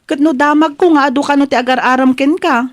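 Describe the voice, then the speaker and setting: A woman's voice: high voice, steady tone, fast, no room sound, close to an everyday voice. An actress playing a cheerful young female office friend in an audio drama, light and teasing. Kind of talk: Ilocano audio drama acting.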